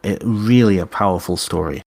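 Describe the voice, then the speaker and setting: A man with a very low, deep voice and a Welsh-tinged British accent tells an old legend with eager enthusiasm, restarting phrases as he goes. The sound is dry and close, with no room around it. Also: a little fast, gravelly, darkish tone, dry recording. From Wales. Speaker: podcast guest, author and witch. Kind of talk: casual storytelling interview.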